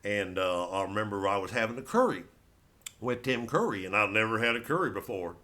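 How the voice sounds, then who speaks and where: A man's voice: lowish voice, lively intonation, brisk, pushed and bright. An American man doing stand-up as a rambling comic storyteller, with a folksy, colloquial and meandering delivery. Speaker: stand-up comedian.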